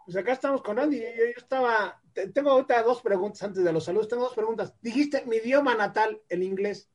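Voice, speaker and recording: A man's voice: high voice, fast. A man co-hosting a radio show, joking around and reading out listener greetings. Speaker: radio co-host. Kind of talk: radio interview chat.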